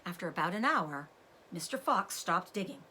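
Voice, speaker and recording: A woman's voice: lowish voice, normal speed, a little hiss or hum. A woman reading a children's story aloud expressively, like a teacher explaining things to young listeners. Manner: animated, explains words to children. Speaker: teacher-like read-aloud host.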